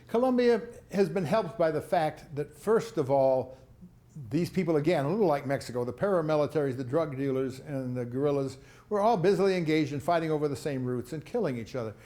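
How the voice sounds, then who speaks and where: A man's voice: fairly high pitch, lively intonation, fairly quick, warm tone, roomy sound. A man giving a rambling talk on foreign policy, with chuckling asides, answering audience questions. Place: lecture hall.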